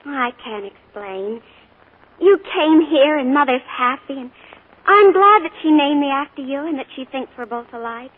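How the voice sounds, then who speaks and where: An earnest, dreamy teenage girl in a 1940s radio play. Her voice sits very high and sounds eager and breathless. The thin, scratchy broadcast sound frames it. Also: lively intonation, normal speed, phone-quality sound.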